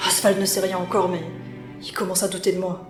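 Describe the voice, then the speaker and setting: A woman's voice: on the low side, completely flat delivery, a little fast, lightly acted. A woman playing an anxious, guilt-ridden reluctant spy and sister in an audio drama, hesitant and stammering, with urgency. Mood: guilty, urgent. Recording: echoey room, loud music underneath.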